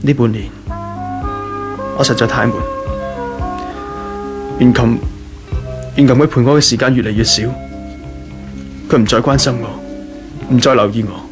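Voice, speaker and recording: A man's voice: medium pitch, fairly even intonation, normal speed, pauses often, lightly acted. A man with a Hong Kong Cantonese accent playing a jealous, self-pitying young rich husband in a 1980s radio drama. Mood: drunk, despondent. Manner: emotional, self-pitying, impatient. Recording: 1983 radio drama with background music.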